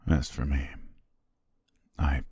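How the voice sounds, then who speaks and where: A man voicing a devoted male butler marrying his princess, speaking formally in a soft, half-whispered way that hints at quiet control. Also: very deep voice, little pitch movement, slow, husky, no room sound, clean studio sound, full character voice. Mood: tender, hushed. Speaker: ASMR roleplay voice actor. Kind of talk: intimate ASMR roleplay monologue.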